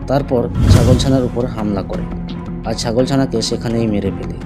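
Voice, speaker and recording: A man's voice: medium pitch, normal speed. A man narrating a scripted cartoon fable as the storyteller, with music behind him.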